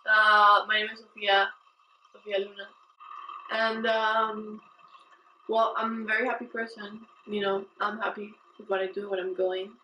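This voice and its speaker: A young woman, a student, answering interview questions in a soft, low-key way. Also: little pitch movement, unhurried. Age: young adult. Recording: echoey room, dull sound, faint noise.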